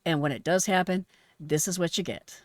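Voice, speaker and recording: A woman's voice: lowish voice, normal speed, no room sound, clean studio sound. A woman giving frank, instructive, emphatic commentary as a host and retired emergency dispatcher, analysing a call.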